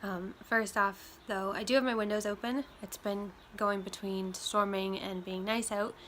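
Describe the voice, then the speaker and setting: A woman's voice: mid-range voice, steady tone, a little slow, a bit bright. A young woman from Iowa, slightly nasal from a chest cold, chatting casually to camera with plenty of ums. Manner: chatty, many ums, loose and rambling. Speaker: vlogger. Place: home room with windows open. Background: faint road traffic and wind chimes.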